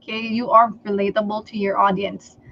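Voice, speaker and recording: A woman from the Philippines whose first language is Tagalog, giving sales advice in a livestream interview and often pausing on "okay" and "um". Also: medium pitch, level tone, vocal fry, lo-fi sound, slight background noise. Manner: slow and deliberate, many fillers like okay and um. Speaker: sales trainer guest.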